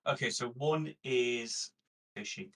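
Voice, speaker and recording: A man's voice: mid-range voice, takes it slowly, fairly bright tone. A man chatting casually as a player at a tabletop game, jokey and sweary, recorded at a distance from the mic.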